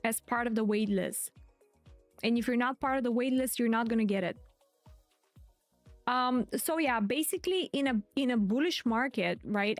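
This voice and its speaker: A woman's voice: fairly high pitch, dry recording. A woman host giving live stream market commentary in a chatty way, repeating her key points. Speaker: crypto trading streamer.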